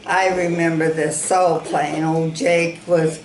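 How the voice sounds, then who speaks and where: Woman from South Dakota, unhurried, plain-spoken storyteller, roomy home recording. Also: deep voice, little pitch movement. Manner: slow, plain-spoken, rambling recollection. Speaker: interviewee, interviewer's sister. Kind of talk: reminiscing in an interview. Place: room in a ranch home.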